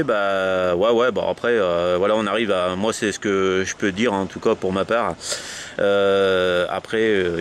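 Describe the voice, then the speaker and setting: A man talking casually about his radio hobby in a hesitant, rambling way. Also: lowish voice. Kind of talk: casual amateur radio chat. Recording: faint background noise on location.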